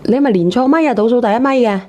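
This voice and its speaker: A woman's voice: a little high, very fast. A woman, hosting a casual call-in talk show. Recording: clear noise and clicks behind.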